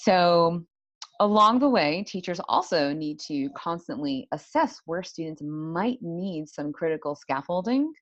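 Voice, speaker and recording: A woman with a low-pitched voice gives an academic talk for an online conference. She explains step by step like a language instructor and poses questions as she goes. The sound is a little dull and lo-fi. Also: lively intonation, unhurried, no room sound. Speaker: presenter, university Italian language teacher. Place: indoor room, online symposium talk.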